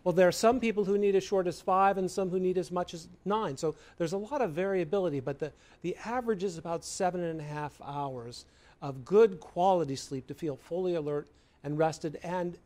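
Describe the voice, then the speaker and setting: A man's voice: high-pitched, normal speed, darkish tone. A man with an American accent explaining things as a medical expert in an interview.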